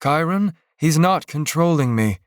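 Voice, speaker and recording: A man voicing a steady, caring village mother who speaks in an earnest, soothing way. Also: fairly high pitch, measured pace, dry recording, clean studio sound, voice pushed up. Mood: pleading.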